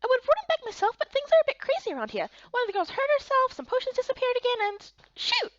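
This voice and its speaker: A woman's voice: very high-pitched, very animated, fast, no room sound, dull audio, voice pushed up. A woman voicing a bubbly, chatty, kindly female fairy in a visual novel game. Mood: chatty, friendly. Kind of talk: voiced playthrough of fantasy visual novel.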